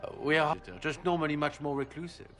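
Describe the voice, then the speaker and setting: A man's voice: fairly high pitch, flat delivery, fairly bright tone, close to an everyday voice. Man playing suave assassin, music behind. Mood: polite, evasive. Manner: formal, smooth-talking, deliberate. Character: suave, courtly male assassin envoy, scrupulously polite. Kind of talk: video game cutscene acting.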